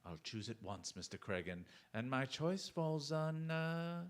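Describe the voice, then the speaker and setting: A man playing a confident, quick-witted detective in a theatrical radio drama, with a polite, mocking manner. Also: mid-range voice, big pitch swings. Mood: cool, mocking.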